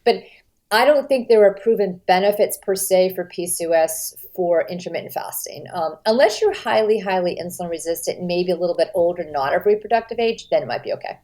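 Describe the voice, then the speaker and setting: Middle-aged woman, American, animated, emphatic, lively swings of pitch. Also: brisk. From United States. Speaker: registered dietitian, guest. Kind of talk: conversational podcast interview.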